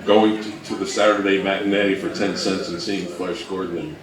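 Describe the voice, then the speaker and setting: A man's voice: deep voice, little pitch movement, normal speed, a crowd in the background. A man giving a casual presentation and fielding questions from an audience. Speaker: presenter at a fan convention talk. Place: roomy indoor presentation space with audience. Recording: echoey room, distant mic, audience noise.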